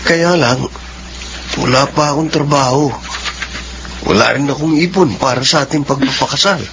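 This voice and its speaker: A man's voice: mid-range voice, normal speed, noisy background, natural voice. An actor playing a bashful, jobless boyfriend in his twenties in a radio drama, emotive and boyish. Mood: bashful, delighted. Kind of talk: Filipino radio drama acting.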